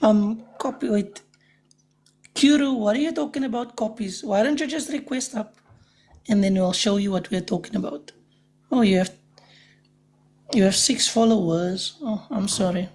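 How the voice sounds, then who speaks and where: A woman's voice: mid-range voice, moderate pace, long pauses, darkish tone. A woman hosting a livestream discussion, speaking in an emphatic, pointed way. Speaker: livestream host. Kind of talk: casual debate and chat. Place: livestream call.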